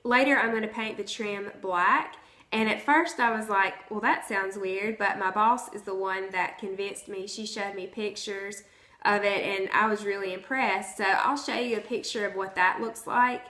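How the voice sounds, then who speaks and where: A hoarse woman, chatting casually to her vlog audience from home. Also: mid-range voice, fairly even intonation, moderate pace, bright, forceful tone. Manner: chatty, conversational. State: hoarse from the flu. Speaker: vlogger. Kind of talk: casual chat to camera. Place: at home, indoors. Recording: roomy echo.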